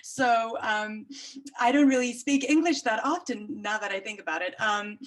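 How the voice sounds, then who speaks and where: A woman actress from South Africa, a native Afrikaans speaker with a South African accent, chatting brightly in an interview and laughing often. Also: on the high side, moderate pace, low-quality recording. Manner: bubbly and chatty, laughs often, many fillers.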